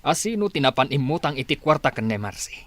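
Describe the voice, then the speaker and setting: A man's voice: mid-range voice, sing-song, fast, natural voice. A man playing an exasperated farmer father in a radio drama, scolding emphatically.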